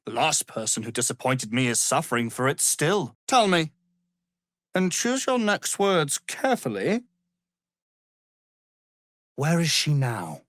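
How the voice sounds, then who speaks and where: A man's voice: fairly high pitch, a bit bright, husky, no echo, studio quality, exaggerated voice. A man voicing an arrogant, power-hungry wizard in a fantasy game, with a theatrical, pompous, condescending delivery. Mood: menacing, impatient.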